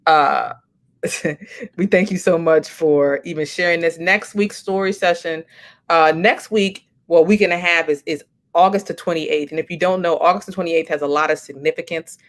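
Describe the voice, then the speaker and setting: A woman's voice: on the low side, fairly even intonation, moderate pace. A woman hosting a casual livestream, chatty and encouraging, thinking aloud as she talks. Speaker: host.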